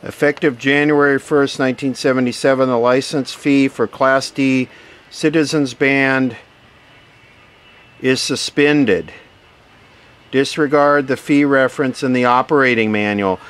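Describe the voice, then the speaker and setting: A man giving casual, hands-on unboxing commentary, musing aloud in short phrases with pauses between them. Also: medium pitch. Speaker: vlogger unboxing vintage radio gear. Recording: steady background noise.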